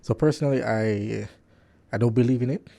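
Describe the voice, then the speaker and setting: A man, an engineer at the station, chatting casually and relaxed on a radio show. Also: mid-range voice, fairly quick, gravelly. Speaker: studio engineer, guest on the show.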